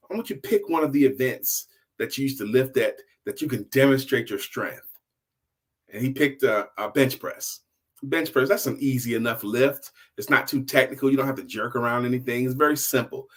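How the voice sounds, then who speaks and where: Middle aged guy doing casual storytelling, kinda animated and emphatic, talks like he's got an audience. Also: medium pitch. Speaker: storyteller and sales coach.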